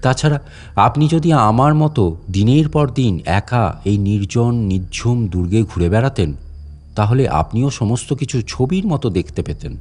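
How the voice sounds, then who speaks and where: A man's voice: mid-range voice, lively intonation, unhurried, a little mellow. A man playing an aging, deferential guide at a lonely fort, telling a dramatic story in a conversational, insinuating way. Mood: confiding, eerie. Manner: conversational, insinuating, theatrical with rhetorical questions. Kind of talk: dramatic first-person audio story narration in Bengali storytelling.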